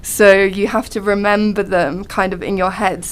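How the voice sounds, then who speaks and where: A young woman, a radio guest, chatting in a thoughtful, hesitant way with frequent pauses. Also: medium pitch, level tone, low-quality recording. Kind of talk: casual chat.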